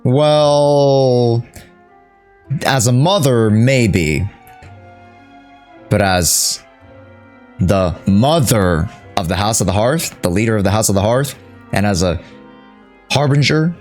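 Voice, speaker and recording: A man giving casual live commentary as he streams a game, thinking aloud in a deliberate, halting way. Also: fairly low pitch, darkish tone. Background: game music.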